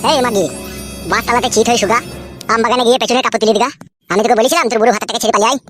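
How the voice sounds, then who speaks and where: A woman's voice: a little high, racing, fairly bright tone, soft background music, close to an everyday voice. A woman voicing an exasperated, crude cartoon husband who rants and hurls insults in bawdy dialogue. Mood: ranting, insulting. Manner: ranting, insulting.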